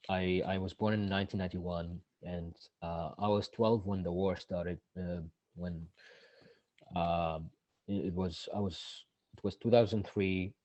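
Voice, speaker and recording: A man, a guest answering interview questions in a halting way and often restarting mid-sentence. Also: low-pitched, darkish tone, no echo. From Iraq. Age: 30s. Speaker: guest, activist. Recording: dull, lo-fi dry recording.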